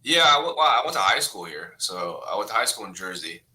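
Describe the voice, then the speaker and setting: Bright-voiced man in his thirties, animated. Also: fast, a little hiss or hum. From China. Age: 30s. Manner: animated, cursing, bursts into loud higher voice when telling stories. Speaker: guest comedian. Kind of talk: casual podcast interview.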